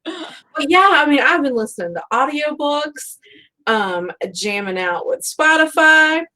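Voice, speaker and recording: A woman's voice: high voice, animated, a little slow, pushed and bright, rough recording. A woman hosting a casual book chat, rambling along in a conversational, self-deprecating way. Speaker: host of a book fan live show.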